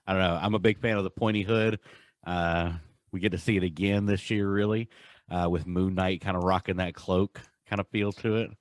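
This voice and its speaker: A man's voice: deep voice, fairly even intonation, normal speed, dry recording. A man hosting a podcast, chatting casually about pop culture. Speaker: podcast host.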